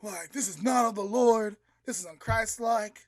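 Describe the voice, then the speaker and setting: A woman's voice: medium pitch, moderate pace, lightly acted. A woman storyteller voicing an outraged, stern religious father, scolding emphatically and repeating herself.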